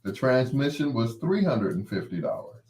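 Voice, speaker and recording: A man's voice: medium pitch, big pitch swings, moderate pace, darkish tone. A man hosting a show solo, rambling casually to his listeners in a folksy, playful, anecdotal way. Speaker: host of a late-night show.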